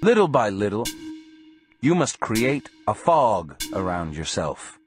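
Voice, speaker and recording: A man reading the part of a stern, blunt sorcerer teacher in a dramatized audio story, calm, direct and commanding. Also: fairly low pitch, slow, music in the background. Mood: solemn, instructive.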